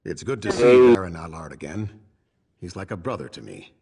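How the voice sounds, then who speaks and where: A man's voice: low-pitched, expressive, a little fast, darkish tone. An actor playing a stern, weary swordsman who protects survivors, speaking formally and resolutely in a fantasy video game. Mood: solemn. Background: background music.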